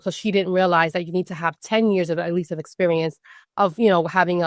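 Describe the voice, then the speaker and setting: A woman's voice: fairly low pitch, no room sound, clean studio sound. A woman speaking as a guest on a podcast, explaining her work as a financial social worker in a thoughtful, conversational way and often checking in with "right?". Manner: thoughtful, leans on "right?" tag questions and "like" fillers. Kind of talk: casual podcast interview.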